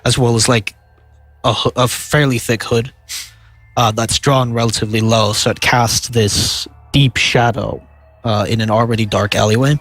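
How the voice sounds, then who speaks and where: A young man with a nasal voice, narrating a tabletop game as game master, halting and filling pauses with "uh" and "like". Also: mid-range voice, fairly even intonation, fairly bright tone, no room sound, faint music behind. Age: young adult.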